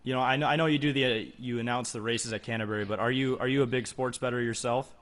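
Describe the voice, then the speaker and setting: Bright-voiced male co-host. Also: medium pitch, fairly even intonation, fast. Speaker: co-host interviewing a guest. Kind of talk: casual chat.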